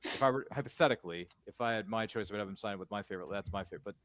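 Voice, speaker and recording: This is a man co-hosting a casual movie watchalong. His voice sounds bright and forward. He keeps stopping and restarting as he searches for what to say. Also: fairly low pitch, rapid-fire. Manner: hesitant, restarting sentences, quoting lines. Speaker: co-host.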